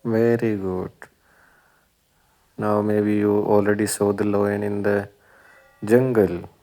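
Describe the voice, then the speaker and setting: A man narrates an educational video for young children. His voice is on the low side and his delivery is extremely slow, in short simple phrases separated by pauses, often turned into questions for the listener. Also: level tone, mellow. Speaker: presenter of a children's learning video.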